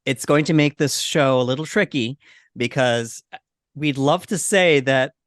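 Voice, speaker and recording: Male co-host, average pitch, casual and measured talker, very little room sound. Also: no echo, clean studio sound. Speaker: podcast co-host. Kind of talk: casual movie discussion.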